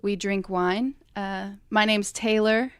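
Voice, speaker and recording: A woman's voice: medium pitch, steady tone, slow pace, clear, resonant tone, dry recording, studio quality. A woman from Ohio co-hosting a podcast, talking casually with many fillers. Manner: chatty, lots of "like" fillers. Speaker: podcast co-host. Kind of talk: casual chat.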